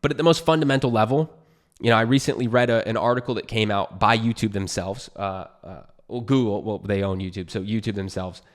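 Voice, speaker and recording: A man's voice: lowish voice, brisk. A young adult man from California with an American accent, talking to camera in a casual, unscripted way, earnest and encouraging like a YouTuber giving advice. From California, United States.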